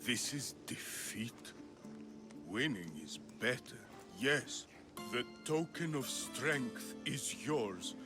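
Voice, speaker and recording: An actor playing a dazed, simple, defeated champion in a video game, halting and polite, with music behind him. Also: male voice, mid-range voice, lively intonation. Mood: bewildered, meek. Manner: bewildered, halting. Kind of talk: video game cutscene acting.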